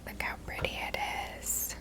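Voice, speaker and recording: A woman softly whispering ASMR commentary as she plays a video game, gently musing aloud. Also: moderate pace. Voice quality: soft breathy whisper. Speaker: host playing a video game.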